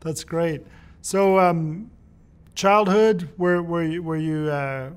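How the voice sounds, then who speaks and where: A man's voice: fairly high pitch, steady tone, takes it slowly, clear, resonant tone. A man hosting a casual company interview, asking friendly, curious questions. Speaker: host.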